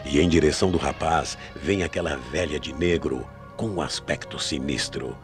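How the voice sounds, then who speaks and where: A man narrating a radio mystery play in a grave, measured, dramatic storytelling manner. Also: extremely low pitch. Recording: AM radio drama with loud music behind.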